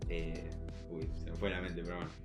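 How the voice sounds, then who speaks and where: A young man with an Argentine accent chatting casually as a podcast co-host, haltingly, often repeating words for emphasis. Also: low voice, completely flat delivery, faint music behind. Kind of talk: casual nostalgic chat.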